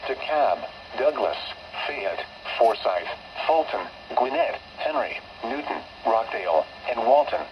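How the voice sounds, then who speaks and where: A computer-generated male voice reading a weather broadcast in a synthetic, evenly spaced delivery, with odd pitch jumps on numbers. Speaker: automated weather radio announcer. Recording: muffled weather radio receiver with static.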